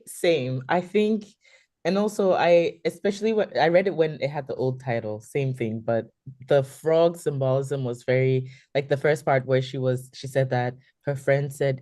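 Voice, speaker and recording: Very deep-voiced, mellow woman chatting. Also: dry recording, clean studio sound. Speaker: book club panelist. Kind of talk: casual book discussion.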